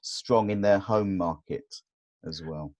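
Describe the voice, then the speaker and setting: A man's voice: sits low, takes it slowly, a little mellow, no room sound. A man hosting an interview, asking long questions in a conversational way. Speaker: interviewer, host.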